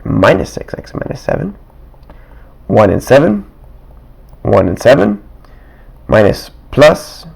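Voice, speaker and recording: A man teaching math in a casual, worked-example way, with a raspy, dry-throated voice that keeps clearing, thinking aloud with small chuckles and asides from his desk. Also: on the low side, very animated, takes it slowly, pauses often, darkish tone. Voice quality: raspy, getting rougher.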